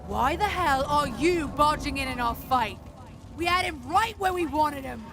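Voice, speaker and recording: A woman's voice: high-pitched, lively intonation, measured pace, background noise. An actress playing a tough, impatient female gang leader in a video game, sardonic and challenging. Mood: confrontational. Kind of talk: video game character dialogue. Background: music.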